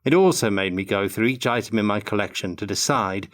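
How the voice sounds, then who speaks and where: Older man with a lowish voice. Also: a little fast, a bit bright. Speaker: interview guest, tabletop game designer. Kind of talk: prepared answers in a Q&A.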